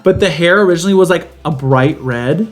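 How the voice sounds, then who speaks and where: A young man with a fairly high voice chats as a podcast co-host. His storytelling is campy and full of slang, with music behind him. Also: a little fast. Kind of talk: casual chat.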